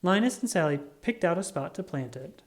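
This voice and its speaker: A reader giving an expressive, scripted read-aloud of a children's picture book. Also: mid-range voice, fairly quick. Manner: animated, expressive reading.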